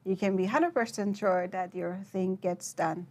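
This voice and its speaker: A young woman from Iran, answering interview questions as a guest, with many pauses and fillers. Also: mid-range voice, a little mellow. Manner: hesitant, many fillers and restarts. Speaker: PhD researcher, guest.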